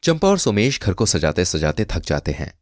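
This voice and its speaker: A man narrating a scripted comic moral tale as an expressive storyteller. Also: low voice, moderate pace, dry recording, studio quality.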